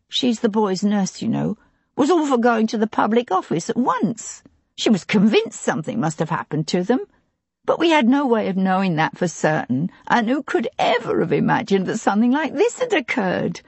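A woman voicing a plump, tearful older housekeeper who talks on anxiously and pleadingly, with a breathy voice. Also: mid-range voice, no echo, pitched above the performer's own voice, heavily acted.

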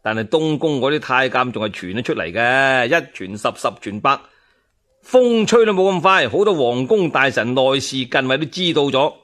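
A man's voice: mid-range voice, lively intonation, normal speed, fairly bright tone. A man with a Cantonese accent narrating historical tales in a dramatic, colloquial storyteller's manner.

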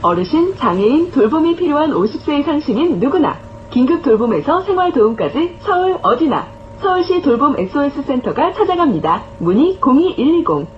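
Female public service announcer: fairly high voice, formal scripted read, dull lo-fi sound over vehicle noise. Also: big pitch swings, a little fast. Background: bus interior noise.

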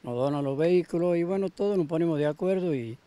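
A man's voice: on the high side, fast talker, dark tone, no room sound. A man answering interview questions outdoors, hesitant and full of fillers. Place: outdoors at a roadside lookout.

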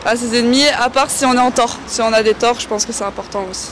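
A woman's voice: a little high, fairly even intonation, quick pace, slight background noise. A young woman in her twenties with a Belgian French accent, answering hesitantly on the street. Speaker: street interviewee. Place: outdoors on a city street.

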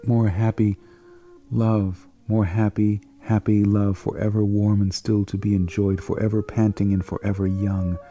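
A man reads verse in a low, very mellow and dark voice. His delivery is slow and solemn, breaking the lines into short, deliberate phrases with dramatic weight. Faint flute music plays behind him. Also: flat delivery, muffled recording. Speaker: poetry reader. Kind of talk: slow, dramatic poetry recitation.

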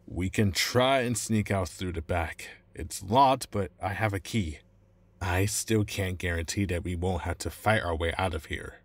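A man acting a cornered, anxious informant in an audio roleplay, pleading and explaining tactics urgently in a husky voice. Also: deep voice, measured pace, dry recording. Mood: tense, wary. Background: music.

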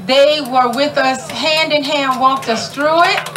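A woman's voice: high-pitched, steady tone, slow pace, noisy background. A middle-aged woman emceeing an outdoor community ceremony, chatty and folksy, laughing often and calling out to the crowd. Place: outdoor tent at a park gathering.

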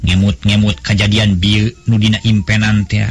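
A man with a Sundanese accent narrating a traditional folk tale in a melodic, unhurried storytelling cadence. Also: on the low side, flat delivery, slightly rough. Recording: faint background music.

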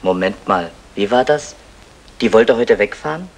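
An actor playing a wily small-time crook and meddling brother in an old German radio comedy, mock-formal and chummy. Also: male voice, lowish voice, animated, measured pace, creaky, exaggerated voice. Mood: probing.

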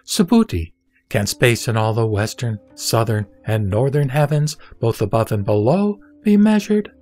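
Wise male spiritual master, played by a man, mid-pitched, solemn and instructive, for a sutra audio drama. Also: very animated, normal speed, darkish tone, soft background music. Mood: solemn teaching. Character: the Buddha, a wise, composed male spiritual teacher.

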